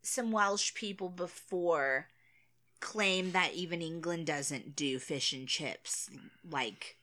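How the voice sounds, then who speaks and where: A woman's voice: lowish voice, bright, forceful tone. A woman co-hosting a casual chat, listening closely, putting in questions and laughing easily. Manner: attentive listener, quick to laugh. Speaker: podcast co-host.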